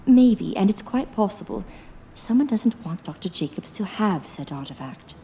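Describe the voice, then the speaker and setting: A woman's voice: medium pitch, animated, moderate pace, warm tone, slight background noise, lightly acted. A woman playing an anxious, observant investigator in a period horror audio drama, speaking earnestly and formally with an uneasy edge. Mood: concerned. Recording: faint music under the voice. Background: faint music.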